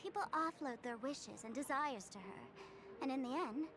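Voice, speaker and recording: Wry high-voiced trickster girl. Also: expressive, moderate pace, background noise. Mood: scornful. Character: wry, teasing young woman, secretive streamer and trickster. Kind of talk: video game voice acting. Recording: clear music bed behind voice. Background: music.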